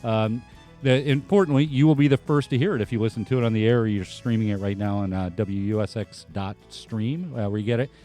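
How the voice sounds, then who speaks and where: Low-voiced man hosting with a music bed. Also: a little fast, warm tone. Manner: rambling, many fillers and restarts. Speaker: host. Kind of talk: casual radio talk show chat. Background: faint band music.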